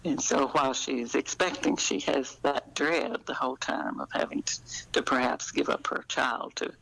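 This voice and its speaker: An older woman, talking in a halting, thoughtful way as an author being interviewed. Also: rumbling low, creaky, fairly bright tone, whispered, poor audio. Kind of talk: conversational interview.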